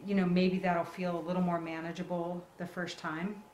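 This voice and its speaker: A woman's voice: lowish voice, flat delivery, normal speed, a little mellow. A woman giving casual, reassuring step-by-step instruction as the host of a live craft tutorial. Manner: reassuring, encouraging. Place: indoor work table. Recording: roomy echo, clear background noise.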